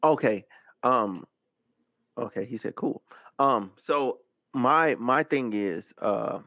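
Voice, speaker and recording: A man's voice: medium pitch, expressive, takes it slowly, fairly bright tone, no room sound. Man with dry, dull-sounding podcast audio. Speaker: podcast lead host. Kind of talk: casual discussion and banter.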